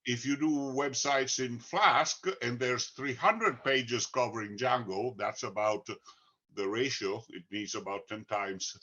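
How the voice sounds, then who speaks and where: A man with an Italian accent, a book co-author, talking slowly and deliberately with many pauses. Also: medium pitch, sharp tone, muffled recording. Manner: slow, deliberate, frequent "uh" pauses. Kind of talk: conversational talk.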